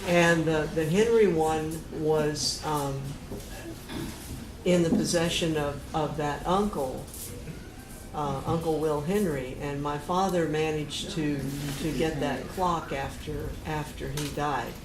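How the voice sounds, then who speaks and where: A mature woman with an American accent, explaining family history casually and in detail, pausing to recollect. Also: sits low, steady tone. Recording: echoey room with clear noise.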